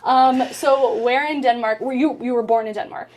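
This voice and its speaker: A middle-aged woman hosting a parenting podcast, frank and casually profane in relaxed conversation. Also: a little high, moderate pace. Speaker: podcast host.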